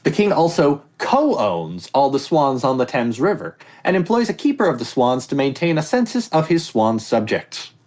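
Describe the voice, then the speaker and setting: A man hosts a podcast quiz round with an animated, swinging delivery. He reads the statements aloud and keeps breaking off into jokes and tangents. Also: mid-range voice, moderate pace, few pauses. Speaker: quiz host. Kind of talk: casual comedy podcast quiz.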